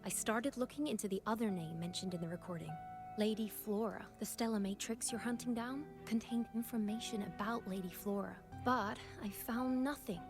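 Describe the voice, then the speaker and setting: A woman's voice: mid-range voice, normal speed, lightly acted. A woman voicing a curious, persistent researcher in a video game, recounting what she knows in a thoughtful way. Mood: intrigued, thoughtful. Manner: thoughtful storytelling. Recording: game dialogue over clear music.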